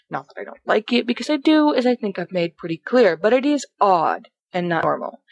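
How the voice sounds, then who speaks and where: A woman playing an excitable young man, reading his letter aloud in a rambling, sardonic, dramatic manner. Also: fairly low pitch, gravelly, no echo.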